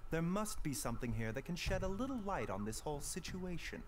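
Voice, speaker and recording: Casting: sly, gentle young physician in a game, unhurried, formal, very expressive pitch. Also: male voice, a little hiss or hum. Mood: sly, composed. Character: gentle, secretive young male doctor, courteous and sly. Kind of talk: video game voice acting.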